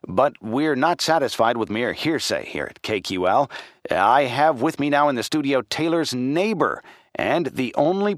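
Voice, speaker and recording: Actor as a showy 1920s radio announcer, animated. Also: male voice, medium pitch, dry recording, studio quality, natural voice. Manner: formal, showy announcer delivery. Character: grandiose, formal male 1920s radio news anchor. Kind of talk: old-time radio announcing, acted.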